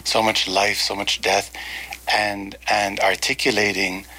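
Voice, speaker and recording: A man from Egypt speaking as a studio interview guest, earnest and deliberate in reflective conversation. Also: lowish voice, little pitch movement, pushed and bright, rough recording. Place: small in-person studio.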